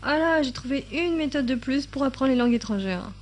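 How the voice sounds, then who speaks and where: A woman's voice: sits high, lively intonation, fairly quick, slight background noise. A woman giving a casual tutorial while thinking aloud at her computer, with many fillers, now and then sounding out translated subtitles in other languages. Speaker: YouTube tutorial maker. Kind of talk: casual screen-recording tutorial.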